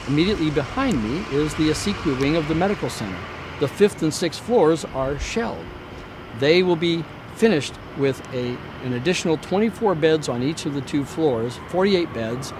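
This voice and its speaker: Man, executive presenter, formal and measured, deliberate phrasing, outdoor recording, clear traffic and ambient noise. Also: a little high. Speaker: hospital executive giving a facility update. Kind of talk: prepared newsreel presentation to camera.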